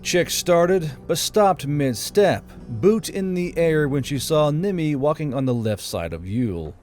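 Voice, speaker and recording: A man's voice: fairly high pitch, animated, unhurried, darkish tone, soft background music. A man reading scripted fantasy fiction aloud as an audiobook narrator.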